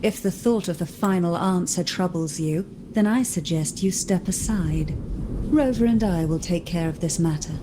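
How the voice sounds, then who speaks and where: A woman playing a composed, resolute noblewoman in a video game, formal and measured, guarded and coolly polite. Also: fairly low pitch, background noise, close to an everyday voice. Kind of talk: scripted video game voice acting.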